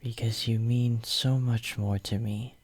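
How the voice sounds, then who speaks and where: A man playing a devoted, possessive young hero in a romantic ASMR roleplay, murmuring tenderly and intimately in a breathy whisper close to the microphone. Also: medium pitch, steady tone, unhurried, darkish tone, close to an everyday voice. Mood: adoring. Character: devoted, possessive young male hero soothing his lover.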